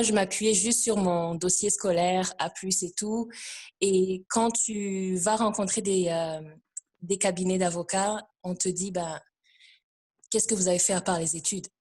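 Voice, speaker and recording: A woman taking part in a discussion, giving informal personal testimony and pausing often to find her words. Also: medium pitch, darkish tone. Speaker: discussion participant, immigrant services worker.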